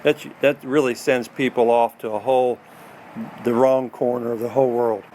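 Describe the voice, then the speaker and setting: A man talking casually to camera in a rambling, folksy way, doubling back on his words. His voice sits in a middle pitch range, plain and unhurried. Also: fairly even intonation, darkish tone, slight background noise. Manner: rambling, folksy, repeats himself. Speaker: trading video maker.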